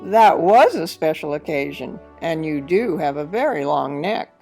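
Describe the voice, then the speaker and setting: A woman voicing an excitable young boy pelican in an expressive read-aloud of a children's picture book. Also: sits low, a little slow, creaky, exaggerated voice. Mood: fond, excited. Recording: music behind the voice.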